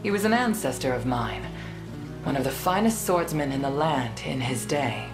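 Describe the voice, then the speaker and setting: A woman's voice: extremely low pitch, moderate pace. A woman voicing a cocky, battle-hungry young fighter with a swaggering drawl in a fantasy video game. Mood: weary, wry. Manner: swaggering, teasing, relaxed drawl. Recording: music behind the voice.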